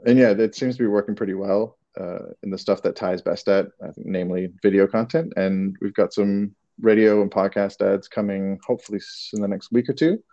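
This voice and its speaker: A man's voice: fairly low pitch, steady tone, a little fast, few pauses, mellow, muffled recording. A man from Canada with a Canadian accent, answering interview questions casually and hesitantly, with many restarts. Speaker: creative director, guest.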